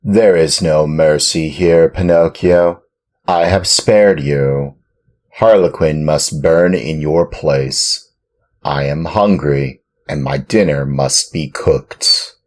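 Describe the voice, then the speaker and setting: A man reading a storybook and voicing a gruff, bearded male puppet-theater director in a hoarse, booming, commanding tone. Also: slow.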